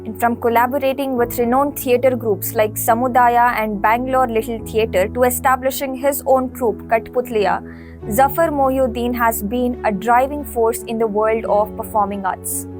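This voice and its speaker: A woman reading a smooth, even scripted narration. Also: fairly high pitch, moderate pace. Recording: voice-over with music behind.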